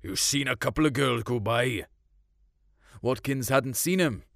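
A man's voice: medium pitch, measured pace, gravelly, a bit bright, no room sound. A man acts out a Welsh hill farmer from a storybook, looking back on his youth. He talks in homely country dialect with a wistful, colloquial manner. The recording is dry. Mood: troubled, wistful. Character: Uncle Jack, big bearded Welsh hill farmer reminiscing fondly. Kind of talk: storytelling short-story reading.

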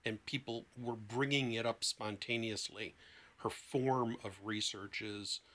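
Bright, clear-cut male therapist explaining. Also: fairly low pitch, steady tone, unhurried. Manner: explanatory, illustrating with anecdotes and quoted dialogue. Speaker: therapist, interview guest. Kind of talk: conversational explanation.

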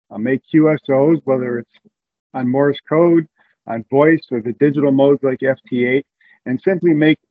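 Tinny phone-line man explaining. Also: mid-range voice. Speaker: podcast guest, amateur radio community coordinator. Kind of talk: explanatory interview answers.

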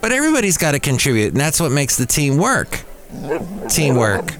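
A man's voice: on the high side, wildly swooping pitch, brisk, crowd noise. A man hosting a solo podcast in a rambling, digressive way, with playful puns. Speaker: podcast host.

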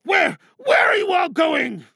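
A man's voice: extremely high pitch, sing-song, slow, gravelly, bright, forceful tone, no room sound, shouting, studio quality, voice pushed up, full character voice. A man playing a grandiose, paranoid villain leader who addresses his followers in theatrical, menacing oratory for an audio drama monologue. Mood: furious, menacing.

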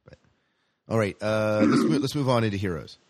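A man with a low, deep voice talks as a podcast host in a casual, rambling style. His delivery is that of an enthusiastic fan, and the sound is dry and close. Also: expressive, a little fast, a little mellow, no echo. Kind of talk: casual chat about sci-fi TV shows.

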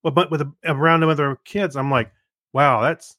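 A man's voice: on the high side, steady tone, moderate pace, sharp tone, no room sound, clean studio sound. A middle-aged man co-hosting a podcast, chatting casually and telling personal anecdotes. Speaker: podcast co-host.

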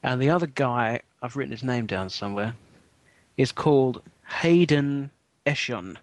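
A man's voice: mid-range voice, expressive, normal speed, no echo. A man co-hosting a podcast, telling a story in a casual, wry way with lots of ums. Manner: chatty, wry storytelling with many fillers. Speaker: podcast co-host. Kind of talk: casual chat.

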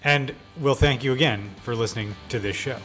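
A man's voice: mid-range voice, brisk, over music. A man co-hosting a podcast, interviewing in a casual, jokey and informal way. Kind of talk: casual, joking interview chat.

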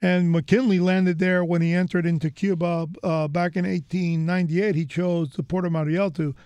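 A man's voice: sits high, flat delivery, moderate pace, darkish tone, clean tone, dry recording. A man from the United States hosting an interview in a rambling, chatty way, full of fillers and restarts. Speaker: host. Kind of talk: casual interview chat.

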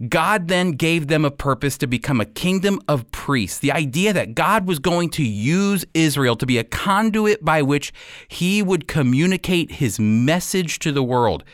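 A man with an American accent hosting a radio program, teaching the Bible in an emphatic, deliberate way. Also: a little high, expressive, a bit bright, no room sound, clean studio sound.

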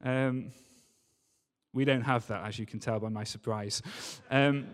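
A man's voice: medium pitch, fairly even intonation, unhurried. A man giving a sermon in a conversational, storytelling way, with emphatic repetition, recorded live in a large church hall. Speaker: preacher. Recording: live talk in a reverberant hall.

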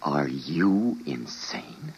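A dull old radio recording carries a troubled, principled doctor. His voice is low and a little rough, with formal, intense phrasing that rises and falls dramatically. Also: very animated, very slow, full character voice. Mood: shocked, protesting. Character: earnest, morally troubled male scientist, a doctor.

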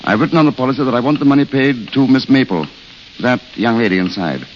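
Casting: male actor as courteous, fatalistic dreamer, mid-pitched literary delivery, vintage radio drama, muffled and hissy. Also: fast, natural voice. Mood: fatalistic, romantic. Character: world-weary romantic idealist, eloquent and fatalistic. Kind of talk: 1930s-40s radio drama acting. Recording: hissy, dull old radio recording.

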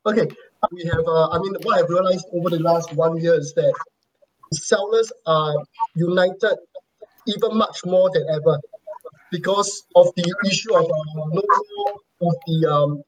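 A man with a high-pitched voice gives his view on an online panel. His phrasing is halting and unscripted, and there is noise with clicks on the recording. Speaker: panelist on e-commerce. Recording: clearly noisy signal with clicks.

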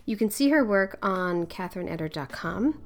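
A woman's voice: medium pitch, animated, moderate pace. A woman from Philadelphia who hosts a podcast, talking in a chatty, easygoing way with frequent laughs and fillers. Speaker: podcast host. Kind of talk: casual interview chat.

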